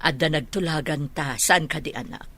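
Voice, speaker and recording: A woman playing a scheming, teasing mother in an Ilocano comedy radio drama, speaking with a husky, creaky, emphatic delivery. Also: low voice, normal speed, pushed and bright, heavily acted. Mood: insistent. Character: scheming, teasing mother posing as house caretaker.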